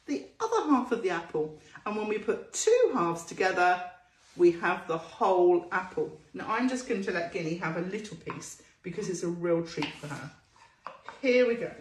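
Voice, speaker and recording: Animated woman presenting to young children. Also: medium pitch, a little hiss or hum. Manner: sing-song, slow emphasis on key words, addressing children directly. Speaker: children's storytime presenter and teacher. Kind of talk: show-and-tell lesson for young children. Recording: roomy echo.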